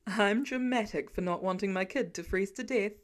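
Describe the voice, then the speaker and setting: A woman narrator plays a protective father in a story reading. He is dry and sarcastic, teasing his family with deadpan concern. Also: medium pitch, moderate pace, pitched below the performer's own voice, lightly acted. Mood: sardonic teasing.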